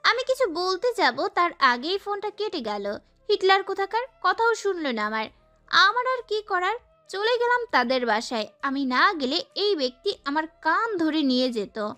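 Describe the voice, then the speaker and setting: Young woman acting as feisty girl narrator, very high bright voice, expressive romance audio story. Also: normal speed, soft background music, heavily acted. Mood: whiny, indignant. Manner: expressive, girlish. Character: sassy, feisty young girl telling her love story. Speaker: narrator and lead actress. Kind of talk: dramatised first-person romance story narration.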